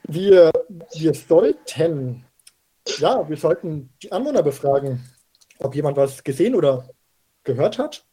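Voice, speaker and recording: Radio play chief detective, played by a man: husky, hesitant, stammering know-it-all, flustered under pressure. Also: on the high side, very animated, unhurried, pauses often, warm tone, exaggerated voice, poor audio. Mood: flustered, unsure. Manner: hesitant, stammering, fillers everywhere. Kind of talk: amateur youth radio play acting.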